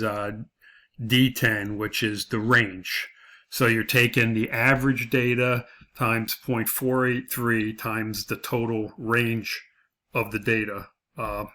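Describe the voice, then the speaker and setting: A man's voice: on the low side, bright, forceful tone. A man giving an informal tutorial as an instructor, explaining step by step and repeating his points. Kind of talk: informal tutorial walkthrough of a spreadsheet.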